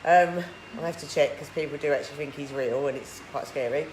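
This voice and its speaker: A tired woman with a husky voice, chatting casually on a live stream and answering viewer comments. Her throat is huskier than usual, and she rambles, filling her talk with "like". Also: low voice, a little fast. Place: indoors in a hot treatment clinic room. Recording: echoey room with loud background noise.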